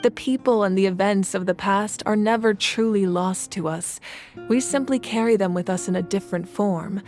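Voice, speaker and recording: A woman acting as a wise female warrior leader in a video game. She speaks at a middle pitch with calm authority, choosing formal words and measured phrasing. Soft music plays behind her. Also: close to an everyday voice. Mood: reassuring, solemn.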